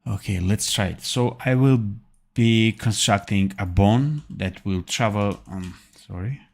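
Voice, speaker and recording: Low gravelly man from Armenia, slow halting livestream tutorial, keyboard clicking. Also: darkish tone. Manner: thinking aloud, halting with fillers and self-corrections. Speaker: animation tutor. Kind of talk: live-streamed software tutorial.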